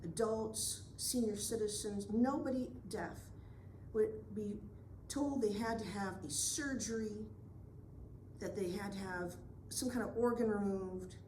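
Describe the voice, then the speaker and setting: A woman moderating a forum, reading a prepared question aloud in a slow, deliberate listing manner. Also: medium pitch, mellow, a little hiss or hum. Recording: echoey room.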